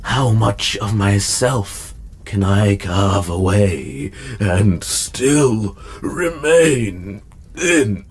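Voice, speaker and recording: An actor playing a scarred, half-machine mad scientist killer in a comic dub, speaking with smug, theatrical menace. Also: male voice, lowish voice, expressive, drawn-out words. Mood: cold, menacing. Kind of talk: scripted comic dub villain monologue.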